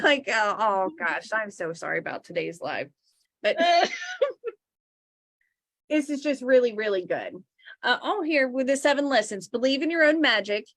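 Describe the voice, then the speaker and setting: A woman co-hosting a spiritual livestream, chatting warmly about books, gushing and laughing often and easily sidetracked. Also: a little high, dry recording, clean studio sound. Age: adult sister of co-host. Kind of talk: casual chat, reviewing books.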